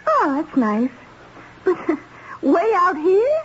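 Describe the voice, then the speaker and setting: A woman's voice: extremely high pitch, wildly swooping pitch, extremely slow, exaggerated voice. An actress playing a sweet, naive young woman in a radio drama, chattering in a hesitant, girlish way. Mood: innocent, curious. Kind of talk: 1970s radio drama acting. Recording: dull, noisy old radio recording.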